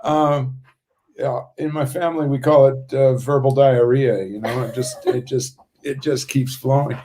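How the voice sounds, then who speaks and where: A man chatting casually as a playwright on a video call, enthusiastic and somewhat halting in his phrasing. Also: mid-range voice, steady tone, warm tone. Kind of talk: casual chat.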